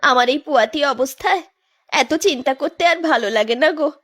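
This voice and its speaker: Casting: anxious young cartoon village wife, high-pitched, gently concerned. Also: female voice, normal speed. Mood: worried.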